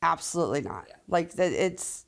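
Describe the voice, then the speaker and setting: A woman's voice: sits low, sing-song, moderate pace, gravelly. A woman speaking candidly as an interviewed local resident, emphatic and plain-spoken.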